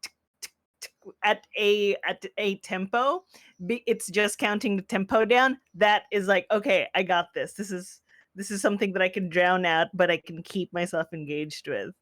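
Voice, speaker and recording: A woman with a mid-range voice talks on a casual video panel. She is expressive and animated, playing out her inner thoughts in quick quoted asides and laughing between them. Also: mid-range voice.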